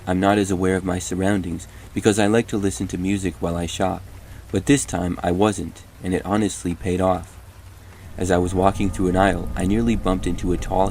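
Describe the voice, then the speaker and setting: Deep-voiced man narrating horror stories. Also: darkish tone, a little hiss or hum. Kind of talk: scripted horror story narration.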